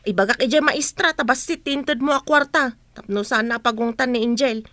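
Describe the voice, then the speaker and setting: A woman's voice: fairly high pitch, normal speed, bright, forceful tone, dry recording. A woman acting a loyal, protective household nanny in an Ilocano radio drama. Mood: firm pleading.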